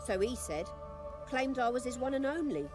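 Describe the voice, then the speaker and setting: A fiery common townswoman from a video game. Her voice sits on the high side and she speaks bluntly and expressively. Faint music plays behind her. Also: lively intonation, normal speed. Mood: scornful, indignant. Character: fiery, jilted, plain-spoken common townswoman.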